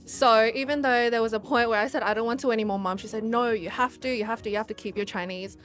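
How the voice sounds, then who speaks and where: A woman chats freely with good humour. Her voice sits at an ordinary pitch. Soft music plays under her. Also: steady tone, fast talker. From Australia. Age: 20s. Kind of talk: casual interview answers.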